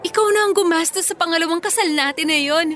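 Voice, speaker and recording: Casting: a high-pitched, tender, nervous Filipino bride for radio drama, music bed. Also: female voice, fast, a bit bright. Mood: affectionate. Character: happy, anxious young pregnant bride, sweetly affectionate.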